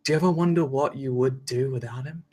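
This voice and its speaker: Woman acting a receptionist, gushing, expressive, dog lover, film comedy table read. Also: extremely low pitch, fast. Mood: chatty.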